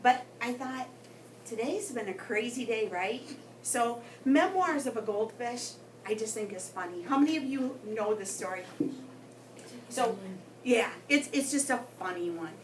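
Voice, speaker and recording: A woman reading a story aloud to children in an expressive way, with dramatic pauses and emphasis. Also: fairly high pitch. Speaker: guest story reader for children. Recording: roomy, distant mic with background noise.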